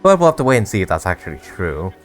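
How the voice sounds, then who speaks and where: Low-voiced young man, casual gaming commentary. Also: sing-song, quick pace, faint music behind. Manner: slangy, bemused. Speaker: gamer, video creator.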